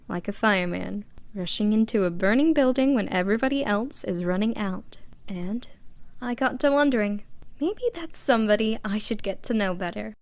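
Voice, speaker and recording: An actress playing a sweet, admiring young woman in a comic dub, with a soft, coy and thoughtful delivery. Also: mid-range voice, no echo, natural voice.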